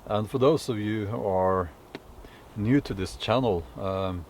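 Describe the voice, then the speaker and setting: Norwegian man, middle-aged, lowish voice, slow reflective vlog delivery with fillers, recorded in the woods. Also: darkish tone, slight background noise. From Norway. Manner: unhurried, thoughtful, with many fillers and restarts. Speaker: vlogger. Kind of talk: casual talk to camera. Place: woods outdoors.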